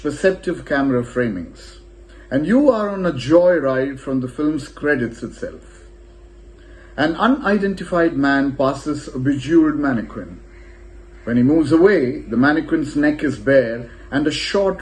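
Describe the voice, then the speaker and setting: A man reading a scripted film review as narrator, with expressive, shifting emphasis. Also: on the high side, poor audio.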